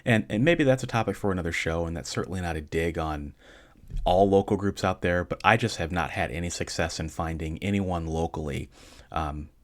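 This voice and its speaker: Deep-voiced guy doing his podcast, calm and even, answers listener questions, dry jokes with a straight face. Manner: calm, measured advice with dry deadpan humor. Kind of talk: casual solo podcast talk.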